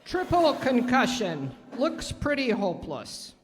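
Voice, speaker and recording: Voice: medium pitch, huge pitch swings, takes it slowly. A performer playing a matter-of-fact hospital doctor in old-time radio drama style. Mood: matter-of-fact, grave. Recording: echoey hall.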